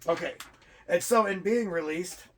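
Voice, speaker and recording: A man with a somewhat high voice runs through a casual wrestling talk show. He is animated and jokey, often stumbling and restarting as he rambles. Faint noise sits behind the voice. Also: normal speed. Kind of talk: casual chat and rundown of rankings. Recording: clear background room noise.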